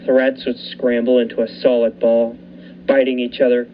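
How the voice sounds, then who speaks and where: A young man narrates a radio thriller in the first person, keeping a steady pace as he builds the tension. His pitch sits in the middle range. The recording is dull, with a faint hiss behind it. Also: normal speed. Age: young adult. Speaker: narrator and lead actor.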